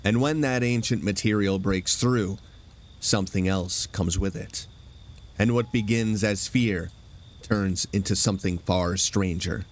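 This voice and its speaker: A man's voice: on the low side, measured pace. A man hosting a horror radio show, reading a scripted campfire-style introduction to a story. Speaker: host introducing a radio drama.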